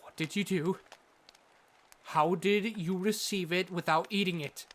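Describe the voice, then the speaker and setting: A man plays a learned, exasperated doctor telling his fantasy tale. His voice is slightly high and very bright, his delivery slow and dramatic, over noticeable background ambience. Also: steady tone, a little hiss or hum. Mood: incredulous, angry. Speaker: narrator and lead character. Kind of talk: dramatic scripted narration.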